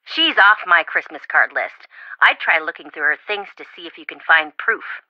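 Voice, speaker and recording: Actress doing flighty girl best friend for a video game, rambly n over-eager, lively pitch, dry dull audio. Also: moderate pace, no echo, close to an everyday voice. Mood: gossipy, musing. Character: chatty, flighty, well-meaning young female best friend.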